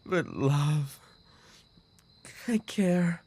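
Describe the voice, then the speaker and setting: A man playing an obsessive, unstable yandere roommate in an audio roleplay, with an intimate, halting delivery. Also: sing-song, a little mellow, full character voice. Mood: anguished, pleading. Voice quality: low, gravelly. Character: obsessive male yandere roommate, soft-spoken, darkly devoted, unstable. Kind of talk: boyfriend ASMR audio roleplay.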